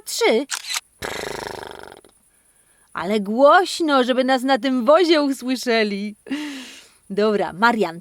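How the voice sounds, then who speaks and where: Lady doing a playful kids story podcast, high voice, takes her time, dry close sound. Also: no echo. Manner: animated, exclamatory, addresses children and dog directly. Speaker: host of a children's speech-exercise podcast. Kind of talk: playful interactive storytelling, chatting to her dog.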